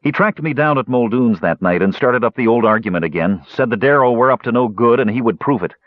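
Guy doing a sulky young dwarf nephew for a fantasy story, blunt, defensive, pitch jumps around, slightly dull sound. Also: lively intonation, brisk, dry recording, lightly acted. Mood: regretful. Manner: belligerent, blunt, informal. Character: sullen, stubborn young male dwarf nephew, defensive, grieving.

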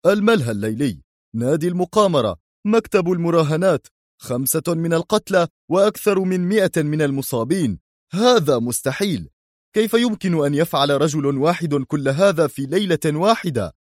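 An actor playing a hot-tempered crime boss in an audio drama, speaking sharply and commandingly. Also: male voice, on the low side, expressive, a little fast, a little mellow, dry recording, clean studio sound, natural voice. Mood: furious.

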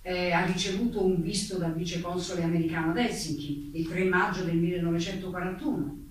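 A woman's voice: on the low side, fairly even intonation, brisk, lightly acted. A woman playing a committee's chief investigator in a staged reading, questioning in a formal, clipped, official way. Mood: official, probing. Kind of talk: staged dramatic reading of interrogation. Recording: distant mic, very echoey room, faint noise.

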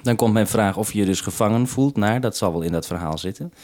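A man's voice: lowish voice, quick pace, darkish tone. A man talking unscripted as a radio host over a two-way link, businesslike and explanatory with some hesitation.

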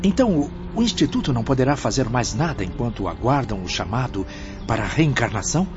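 An actor playing a troubled, inquisitive spirit visitor, asking earnest, hesitant questions in a radio drama. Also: male voice, mid-range voice, big pitch swings, fast talker, over music, lightly acted. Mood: hesitant, curious. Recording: noisy, dull lo-fi audio.

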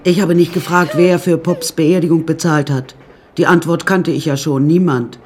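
A woman's voice: deep voice, steady tone, moderate pace, over music, lightly acted. An actress playing a wry woman who recounts her death-strewn childhood in a radio play, dry and confiding. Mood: dry, ominous. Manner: dry, darkly comic, confiding.

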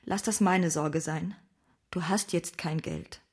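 Husky, insistent loyal woman helper. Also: lowish voice, slow, a little mellow. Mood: urgent, reassuring. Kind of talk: German radio drama acting.

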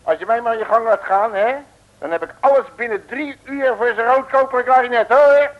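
A cocky detective in an old radio comedy serial. His high voice pours out brash, folksy slang and bossy boasts. The recording is dull and dated. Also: fairly quick. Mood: cocky, familiar.

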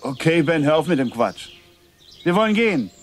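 An actor playing a composed, quietly probing adult man in a German radio play, understated and conversational. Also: a little high, sing-song, normal speed, soft background music. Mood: uneasy calling. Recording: noisy ambient sound behind the voice.

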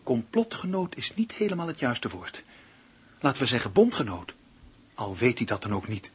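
Cold, gloating villain with animated delivery. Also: male voice, mid-range voice, breathy edge, heavily acted. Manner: theatrical, taunting, deliberate, mock-sweet. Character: cold, calculating man gloatingly tormenting his partner. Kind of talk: Dutch radio play acting.

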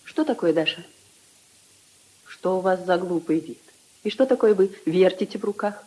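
A mellow-voiced, reserved aristocratic princess. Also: female voice, on the low side, moderate pace, husky, poor audio. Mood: impatient. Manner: composed, haughty, precise. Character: refined aristocratic princess, reserved, curt with servants. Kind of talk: 1950s Soviet radio drama acting.

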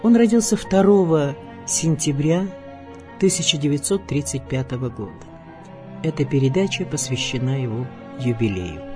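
A man's voice: a little high, lively intonation, moderate pace, over music. A man reading a scripted biographical narration as the presenter of a radio programme.

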